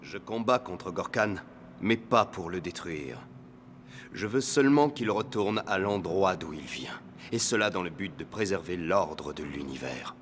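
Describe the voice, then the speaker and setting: A man's voice: deep voice, lively intonation, a little slow, a bit bright, slight background noise. A man playing a noble young samurai in a cartoon, grave and formal in manner. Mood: earnest. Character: solemn, noble young male samurai warrior. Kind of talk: dubbed cartoon acting.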